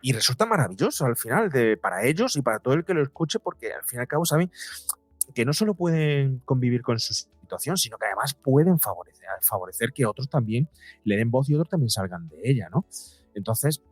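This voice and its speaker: Male, medium pitch. Interview guest who explains by example and repeats for emphasis. Dry sound. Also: big pitch swings, brisk, dry recording, soft background music. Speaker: psychologist and podcaster, interview guest. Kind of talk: explanatory conversational interview talk.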